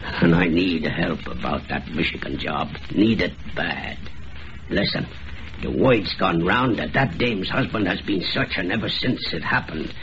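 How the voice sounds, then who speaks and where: A drunk american hobo murderer, slangy n cruel, sharp voice, old muffled radio drama. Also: male voice, deep voice, measured pace. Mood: uneasy. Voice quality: rough uneducated slangy diction. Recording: dull, noisy old radio recording.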